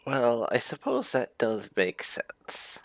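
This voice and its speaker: A man's voice: medium pitch, huge pitch swings, takes it slowly, creaky, background noise. A man giving casual in-character roleplay commentary on a video game, musing wryly with muttered asides. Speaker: gaming channel host.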